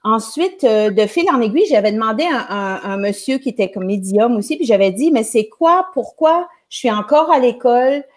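A woman's voice: a little high, animated, moderate pace, few pauses. A woman from Quebec with a Québécois accent, a music teacher telling her story in a chatty, rambling way as a guest on a show. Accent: Québécois French. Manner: chatty, rambling, many restarts. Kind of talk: casual storytelling on a live show.

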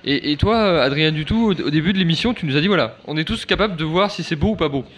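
A man taking part in a debate, stating his opinions in a deliberately provocative way. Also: on the high side, animated, fairly bright tone. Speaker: discussion participant.

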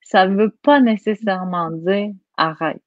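A woman's voice: medium pitch, a little slow, no echo, dull audio. A woman from Quebec with a Québécois accent, speaking earnestly and emphatically as a guest in a conversational interview.

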